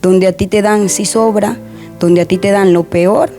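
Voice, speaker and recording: A young woman, a guest softly and hesitantly sharing a personal testimony in an interview. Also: medium pitch, steady tone, unhurried, soft background music. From Honduras.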